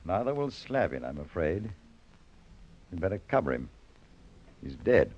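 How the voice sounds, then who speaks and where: An actor playing a cool, matter-of-fact plane owner in an old-time radio drama. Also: male voice, sits low, big pitch swings, a little slow. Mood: cool, grim. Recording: dull, noisy vintage radio recording.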